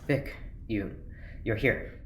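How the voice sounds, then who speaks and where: A woman's voice: rumbling low, expressive, slow, a little hiss or hum, full character voice. A woman playing an earnest, literal-minded scientist on a spaceship in an audio drama, terse, stiff and defensive. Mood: earnest, confused. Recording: roomy, echoey sound, music underneath. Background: music.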